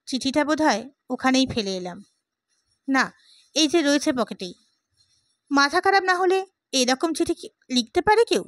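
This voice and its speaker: Audiobook role: opinionated idealist gentleman played by a woman, high-pitched, emphatic speechmaker, dry sound. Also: animated, moderate pace, long pauses, no room sound. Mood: amused, confiding. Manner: oratorical, emphatic. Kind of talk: dramatised audiobook character.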